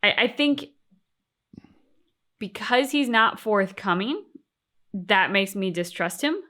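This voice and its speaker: A woman with a bright, clear-cut voice at an average pitch. She co-hosts a casual reaction show and gives her opinions with emphasis. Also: expressive, slow. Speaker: co-host of a game reaction channel. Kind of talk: casual discussion and commentary.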